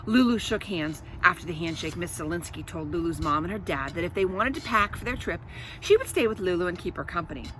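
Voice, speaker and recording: A woman's voice: fairly low pitch, animated, a little fast, background noise. A woman reading a children's storybook aloud as host, expressively, with explanatory asides to her young listeners.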